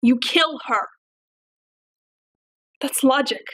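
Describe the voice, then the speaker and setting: A woman playing a cold, detached killer who speaks in a quiet, halting, hushed way. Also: high voice, breathy edge, distant mic, heavily acted. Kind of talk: audition monologue.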